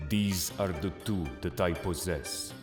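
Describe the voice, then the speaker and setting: A man acts out a mysterious Egyptian mystic for a manga audio drama. The voice is deep and grave, drenched in echoing reverb over clear background music. Every phrase is weighty and portentous. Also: a little slow. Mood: solemn, mysterious. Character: solemn, mysterious Egyptian mystic man wielding ancient magical items. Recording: echoey, long cavernous reverb.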